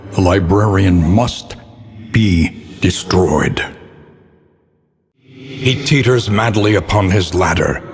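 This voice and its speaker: A low-voiced, foreboding narrator for a gothic horror game, trailing long reverb. He is solemn and theatrical, weighing each phrase with grave, portentous care. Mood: grim, portentous. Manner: solemn, portentous, deliberate diction.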